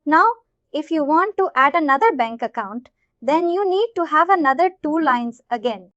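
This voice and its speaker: A woman with an Indian accent giving an informal live software tutorial, explaining things repetitively and checking that listeners follow. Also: sits high, animated, normal speed, dry recording, highs cut off. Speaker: software instructor.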